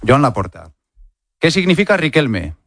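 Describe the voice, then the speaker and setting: A man's voice: a little high, very animated, moderate pace, gravelly, fairly bright tone, no echo, clean studio sound. A man with an accent from Spain putting informal, playful quiz questions as a radio interviewer. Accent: Spanish, from Spain. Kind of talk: quick-fire quiz interview.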